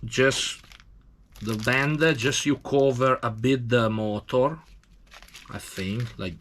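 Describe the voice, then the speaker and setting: A man from Italy with an Italian accent, speaking halting, broken English as he gives a casual hands-on demonstration. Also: mid-range voice, fairly bright tone. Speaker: tattoo machine demonstrator.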